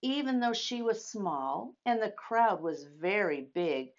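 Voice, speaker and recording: A woman's voice: mid-range voice, animated, slow pace, lo-fi sound. A woman reading a story aloud to young children, addressing them directly with questions and emphatic phrasing. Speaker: children's storytime host at a church.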